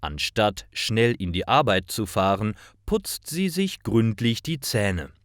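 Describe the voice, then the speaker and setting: A man narrating simple scripted stories for language learners, reading carefully in clearly phrased short sentences. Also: on the low side, sing-song, takes it slowly, dry recording, clean studio sound.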